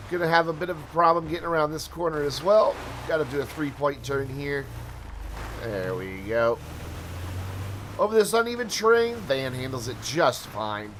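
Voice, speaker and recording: Man, game commentary voice-over, tired strained voice, chatty, animated pitch, faint background noise. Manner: chatty, rambling asides, self-deprecating. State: tired, strained voice from hours of talking. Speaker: gaming channel host. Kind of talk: casual gameplay commentary voice-over.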